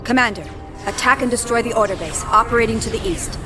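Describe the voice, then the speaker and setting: A woman playing a devoted, earnest rebel spy in a video game, reporting to her commander in a formal, crisp manner. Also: on the high side, quick pace, lightly acted. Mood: businesslike, earnest. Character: earnest, devoted female rebel spy acting as field liaison. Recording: loud music behind the voice.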